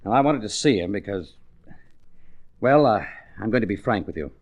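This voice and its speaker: A man's voice: lowish voice, expressive, normal speed. An actor playing an earnest, concerned businessman in a 1940s radio drama, formal and a little hesitant.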